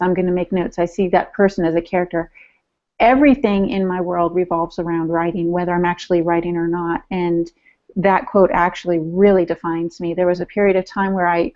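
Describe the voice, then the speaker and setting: A woman's voice: on the low side, a little mellow. Woman chatting on a lo-fi podcast. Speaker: podcast co-host discussing writing. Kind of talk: casual personal chat.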